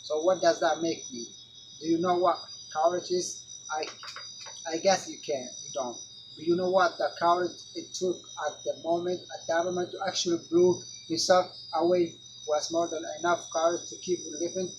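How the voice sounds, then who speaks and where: A man's voice: on the high side, fairly even intonation, normal speed, muffled recording, background noise, natural voice. A man gives voice to a wounded teenager who lashes out in bitter, accusing words. The voice sits a little above the usual range. Faint crickets sound behind him. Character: angry hurt teen, bitter about friend's suicide. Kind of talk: dramatic monologue reading.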